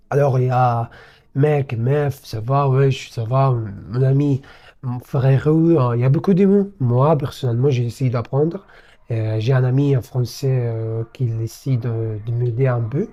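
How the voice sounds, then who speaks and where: A young Egyptian man whose first language is Arabic, speaking French with an Arabic accent in an unscripted vlog, full of pauses and self-corrections. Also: mid-range voice, mellow. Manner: hesitant, many fillers and self-corrections. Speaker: vlogger learning French. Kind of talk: unscripted casual monologue to camera.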